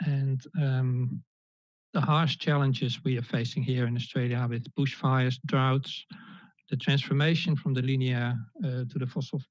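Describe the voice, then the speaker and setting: A man's voice: medium pitch, flat delivery, measured pace, no echo. A Dutch-accented man in his fifties presents over a video call, stopping often with fillers and restarting his sentences. The call audio is dull and lo-fi, and the sound is dry and close, with no room around it. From Netherlands. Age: 50s. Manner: hesitant, many fillers and restarts. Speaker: guest speaker. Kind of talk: online presentation talk.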